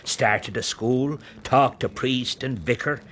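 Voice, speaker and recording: An actor playing a wry, talkative country innkeeper with an Irish accent, probing and storytelling in a radio drama. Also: male voice, medium pitch, slow pace, a bit bright. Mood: indignant.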